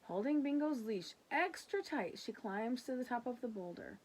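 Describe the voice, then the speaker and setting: A woman reading a children's picture book aloud in an expressive way, with casual asides. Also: fairly high pitch, slightly rough. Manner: animated, unhurried narration. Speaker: storybook reader.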